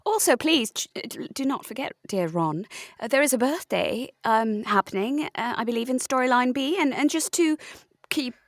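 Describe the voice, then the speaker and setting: Lady as flustered halting woman, highish voice that swoops about, comedy audio play. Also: lively intonation, no echo, close to an everyday voice. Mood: insistent, nervous. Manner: halting, apologetic. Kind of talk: comic audio drama.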